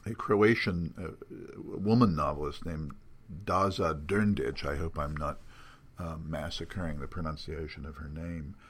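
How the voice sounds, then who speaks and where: An older man talking off the cuff about literary matters in erudite wording, halting often with ums and self-corrections. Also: low-pitched. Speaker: podcast guest editor previewing magazine contents. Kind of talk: unscripted literary talk.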